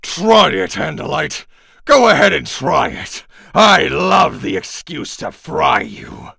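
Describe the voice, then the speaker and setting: A man voicing a cruel, alien-possessed middle-aged man who snarls threats and shouts. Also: mid-range voice, big pitch swings, a little slow, gravelly, no room sound, clean studio sound, heavily acted. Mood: menacing.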